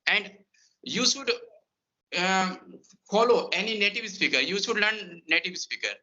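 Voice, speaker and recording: Bright, high-voiced Indian man tutor. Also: unhurried. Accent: Indian English. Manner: earnest, repetitive, broken phrasing. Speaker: English tutor. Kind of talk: conversation practice advice. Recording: dull lo-fi sound.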